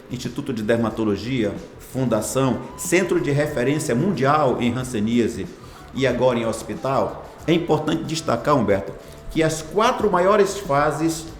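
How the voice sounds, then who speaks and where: A man's voice: medium pitch, echoey room. A man in his sixties, a hospital foundation director, answering interview questions in a formal, ceremonious way with long lists of phrases. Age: 60s.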